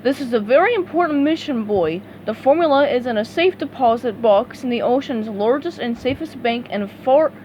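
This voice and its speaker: A woman's voice: on the high side, expressive, normal speed, hardly any pauses, a little mellow, muffled recording, slight background noise, close to an everyday voice. A woman voicing a grumbling, stern older male crab boss in a storybook reading.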